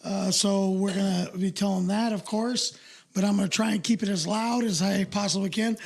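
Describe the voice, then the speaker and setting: Hoarse, gravelly-voiced man with a high pitch. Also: steady tone, moderate pace. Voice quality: raspy. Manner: loose, crude, joking banter. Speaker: podcast co-host. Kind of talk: casual comedy podcast chat.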